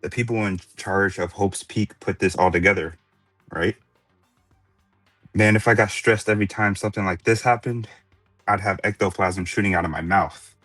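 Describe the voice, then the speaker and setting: A man's voice: sits low, fairly even intonation, normal speed, a bit bright, no room sound, faint music behind. A man in an amateur anime fan dub playing a laid-back young slacker with a breezy, slangy way of talking. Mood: easygoing.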